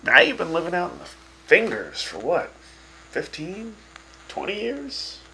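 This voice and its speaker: A man's voice: sits high, huge pitch swings, measured pace, creaky, pushed and bright, a little hiss or hum, exaggerated voice, poor audio. A man playing a wry, teasing wasteland radio host who chats with his guests. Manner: sarcastic, teasing, chatty.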